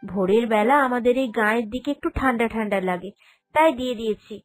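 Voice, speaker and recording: A woman voicing a gentle, dutiful young village bride in a cartoon, speaking in a considerate, accepting way. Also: fairly high pitch, brisk, natural voice. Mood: caring. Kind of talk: cartoon character dialogue. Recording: music behind the voice.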